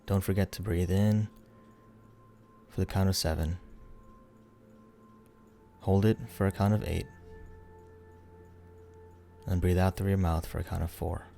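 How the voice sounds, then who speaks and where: A man leading a guided meditation in a soothing, unhurried, instructional way, leaving pauses between phrases. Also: sits low, steady tone, gravelly, mellow. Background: background music.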